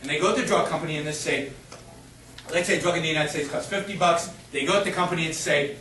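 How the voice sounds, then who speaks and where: A man's voice: fairly high pitch, quick pace, sharp tone. A middle-aged man from Israel, a guest speaker answering audience questions in an emphatic, argumentative way with frequent restarts. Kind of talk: impassioned lecture Q&A. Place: university lecture hall. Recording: echoey noisy hall from far off.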